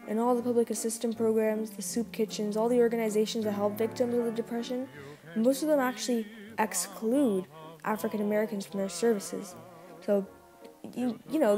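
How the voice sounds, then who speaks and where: Mid-pitched female voice, acted by a woman, earnest Depression-era radio host, insistent, conversational, rhetorical questions, clear music behind. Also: lightly acted.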